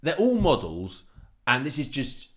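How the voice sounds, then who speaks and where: A man co-hosting a podcast, chatting casually, animated and swearing freely. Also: medium pitch, unhurried. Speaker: podcast co-host. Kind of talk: casual banter.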